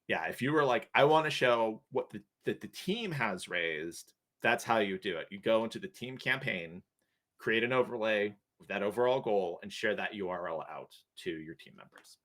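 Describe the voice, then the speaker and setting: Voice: medium pitch, lively intonation, normal speed, fairly bright tone. A co-host of a live stream tutorial, talking casually and thinking aloud with many fillers while explaining steps. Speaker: co-host, platform guide.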